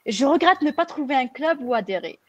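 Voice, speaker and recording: Hesitant woman, slightly high and dry. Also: expressive, a little fast, dry recording, dull audio. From Algeria. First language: French. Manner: hesitant, many fillers and restarts. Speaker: guest pharmacist. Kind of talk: casual interview answers.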